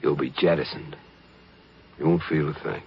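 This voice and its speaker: A man's voice: a bass voice, fairly even intonation, normal speed, loud music behind the voice. A man playing a stern, dutiful spaceship pilot in a 1950s radio drama, speaking tersely with grim regret. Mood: regretful, firm. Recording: dull, lo-fi 1950s radio recording.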